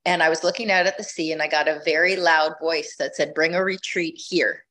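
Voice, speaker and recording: A woman's voice: sits low, steady tone, a little fast, pushed and bright. A middle-aged woman guest telling her story in a reflective, conversational way, often restarting phrases as she thinks. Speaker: podcast guest, retreat leader.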